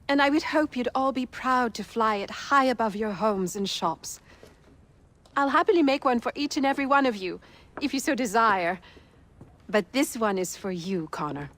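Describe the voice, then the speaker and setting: A gracious townswoman addressing her neighbours in a colonial game drama, sincere, polite and composed, on the higher side. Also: expressive, normal speed, a bit bright. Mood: proud, grateful. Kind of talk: video game dramatic acting.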